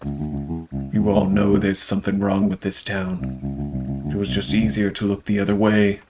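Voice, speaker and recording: A man voicing a bitter, tormented townsman in a game, speaking gravely. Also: deep voice, a little slow, a little mellow, soft background music.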